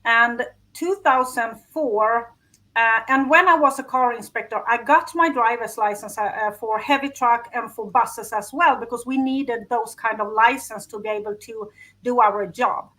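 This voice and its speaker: Woman, Swedish accent, bright tone, fairly high voice, chatty guest. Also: moderate pace, poor audio. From Sweden. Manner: chatty, laughs while telling her story. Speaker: guest, business strategist. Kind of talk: casual interview talk.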